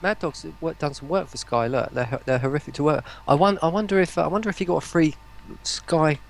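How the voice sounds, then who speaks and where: Creaky-voiced man in casual chat. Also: a little high, fast. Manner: repeats words and phrases. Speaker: speaker on a gaming livestream.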